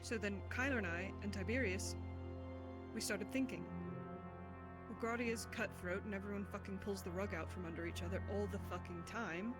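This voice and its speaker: Girl acting out blunt foul-mouthed fantasy bodyguard, mid pitch, loud music behind her. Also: steady tone, normal speed, close to an everyday voice. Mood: incredulous, conspiratorial. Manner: blunt, sweary. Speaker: roleplayer. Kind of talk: improvised fantasy roleplay dialogue. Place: online VR voice chat.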